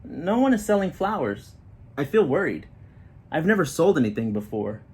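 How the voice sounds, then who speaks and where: Very animated man reading a picture book. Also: fairly high pitch, moderate pace. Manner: expressive, acting out children's dialogue. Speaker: reader of a children's picture book. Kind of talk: animated read-aloud storytelling with explanatory asides.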